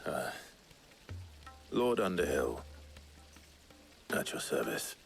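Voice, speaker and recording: An actor playing a reserved, dutiful warrior hero in a video game, speaking tersely and earnestly. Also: male voice, rumbling low, very animated, slow pace, vocal fry, soft background music, exaggerated voice. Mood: stiff, formal. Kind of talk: video game cutscene acting.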